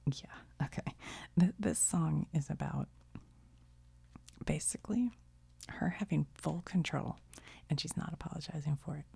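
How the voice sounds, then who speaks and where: Middle-aged woman for whispered ASMR chat: low, breathy, gentle, trailing off. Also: measured pace, long pauses, dry recording. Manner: gentle, chatty, laughs softly, trails off. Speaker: video creator. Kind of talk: soft-spoken ASMR whispering.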